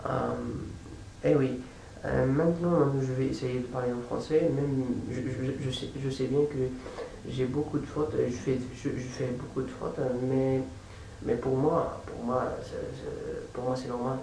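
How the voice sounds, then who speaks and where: A man with a non-native accent talks casually and unscripted as a language-learning vlogger, haltingly repeating words as he searches for phrasing. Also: medium pitch, steady tone, gravelly, mellow. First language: not French or English. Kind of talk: casual unscripted vlog talk. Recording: echoey room, distant mic, faint hiss.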